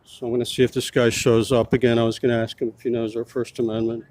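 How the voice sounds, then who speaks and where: A man's voice: medium pitch, flat delivery, fast, a little mellow, no echo. A man filming himself as a street reporter outdoors, talking in a pushy way and repeating his questions. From United States. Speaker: self-styled street reporter. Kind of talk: confrontational vlog narration.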